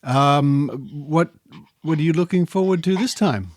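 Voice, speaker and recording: A man's voice: a little high, unhurried, darkish tone, no room sound, studio quality. A middle-aged or older Australian man hosting a casual podcast interview, chatty and genial, playfully repeating phrases. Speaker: podcast host interviewing guests.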